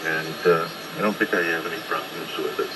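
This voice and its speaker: Very heavy noise surrounds a low-pitched man on a shortwave ham radio transmission. He is an operator talking off the cuff, halting and understated, with polite wording. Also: steady tone. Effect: shortwave single-sideband radio transmission. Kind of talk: unscripted on-air exchange.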